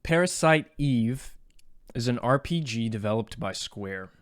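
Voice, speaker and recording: Man chatting casually, medium pitch, dry sound. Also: lively intonation, unhurried, dry recording. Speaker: podcast co-host. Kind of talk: casual gaming podcast chat.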